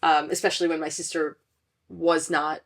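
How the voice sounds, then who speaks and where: Young woman hosting a chatty podcast, lowish voice. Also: little pitch movement, moderate pace. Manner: chatty, many fillers and restarts, laughs often. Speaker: podcast host. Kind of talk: casual chat.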